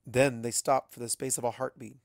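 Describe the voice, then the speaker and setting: An expressive storyteller reads fiction in a deliberate, dramatic way. The pitch sits in the middle, and the sound is close and dry, with little room in it. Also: creaky, no echo. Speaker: fan fiction reader. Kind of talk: dramatic storytelling narration with acted dialogue.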